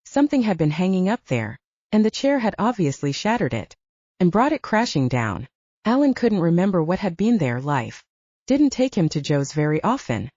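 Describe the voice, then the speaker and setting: A woman's voice: on the low side, sing-song, normal speed, a little mellow, no room sound. A woman narrating a paranormal romance audiobook as scripted storytelling, in a slightly dull, dry recording.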